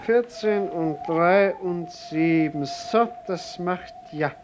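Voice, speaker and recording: An actor playing a polite, deliberate, businesslike seller of dreams in a 1950s German radio drama. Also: male voice, high voice. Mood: measured, businesslike. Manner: courteous, deliberate, formal. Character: polite, businesslike night shopkeeper who sells dreams. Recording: dull, noisy 1950s radio recording.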